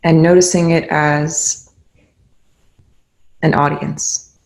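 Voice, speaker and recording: A meditation guide leading a guided meditation in gentle, instructional phrases with pauses between them. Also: medium pitch, flat delivery, vocal fry.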